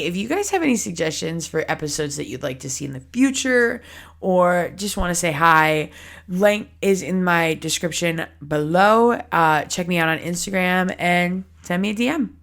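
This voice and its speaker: A woman's voice: lowish voice, gravelly, a bit bright. A woman in her early twenties hosting a solo podcast, talking candidly and casually with frequent laughs and lots of "like" fillers. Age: early 20s. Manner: chatty, candid, laughs often, many "like" fillers. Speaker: podcast host.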